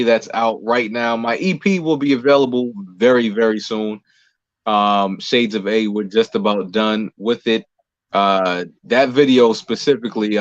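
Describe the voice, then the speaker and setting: A man's voice: mid-range voice, dry recording. Man chatting casually, dry muffled audio. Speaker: interview guest, performer and writer. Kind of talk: casual chat, answering interview questions.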